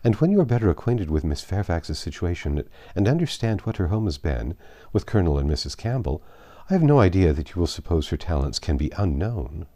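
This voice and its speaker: A man voicing a composed, wry young gentlewoman in a storybook reading, measured and dryly disapproving. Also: sits low, big pitch swings, no echo, studio quality, exaggerated voice. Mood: calm, cool. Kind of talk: audiobook character reading.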